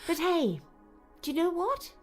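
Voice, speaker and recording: Woman acting a slow, soothing, motherly shop woman. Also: very high-pitched, wildly swooping pitch, full character voice. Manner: soothing, hushed. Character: kindly, motherly shop woman consoling softly. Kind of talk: audiobook character dialogue. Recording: music under the voice.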